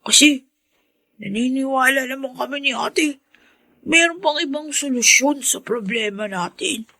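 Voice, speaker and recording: Husky, high-voiced worried child. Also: female voice, unhurried, bright, forceful tone, no echo, full character voice. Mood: pleading. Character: earnest, worried young child Benji, loyal to mother. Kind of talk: Filipino radio drama acting.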